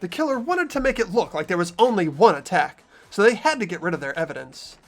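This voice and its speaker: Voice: medium pitch, lively intonation, fast, soft background music, close to an everyday voice. A performer voicing an earnest teenage boy investigator who argues through the evidence in a fan dub of a game. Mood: insistent.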